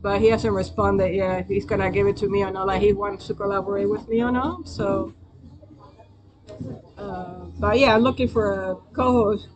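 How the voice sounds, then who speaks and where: A woman's voice: mid-range voice, steady tone, normal speed, low-quality recording. A woman with a Spanish accent hosting a live stream, chatting loosely to viewers in a rambling, hesitant way. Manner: rambling, hesitant, many fillers and restarts. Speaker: livestream host.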